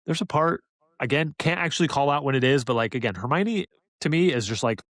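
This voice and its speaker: A man's voice: mid-range voice, expressive, a little fast, fairly bright tone, no room sound, studio quality. A man co-hosting a podcast, giving opinions in an enthusiastic, casual review chat. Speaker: podcast co-host reviewing an audiobook.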